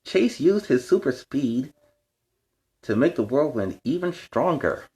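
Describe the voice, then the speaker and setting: A man with a medium-pitched voice hosts story time for kids. His intonation is very lively and varied. He sounds playful, like a superhero talking to young fans, and adds little explanations as he goes. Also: unhurried. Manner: explains words and lessons to young listeners. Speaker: children's story time host. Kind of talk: animated children's storybook read-aloud with chatty asides.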